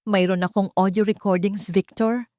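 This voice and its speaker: A woman's voice: mid-range voice, steady tone, normal speed, warm tone, no room sound, studio quality, natural voice. A woman voicing a wronged, newly fearless wife in an audio story, firm and confronting, with a Filipino accent. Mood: cold resolve.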